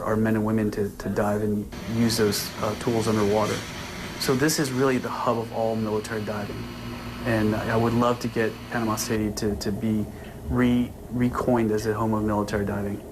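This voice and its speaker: A man in his forties, born in Vietnam, a naval commander giving a news interview in an earnest, halting way with frequent restarts. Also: fairly low pitch, noisy background.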